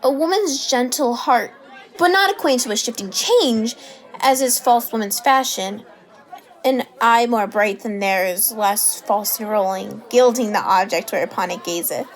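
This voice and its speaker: A woman performing a Shakespeare sonnet as a smitten young lover's monologue, in measured verse. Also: fairly high pitch, a faint crowd, poor audio. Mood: admiring, smitten. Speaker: student drama performer.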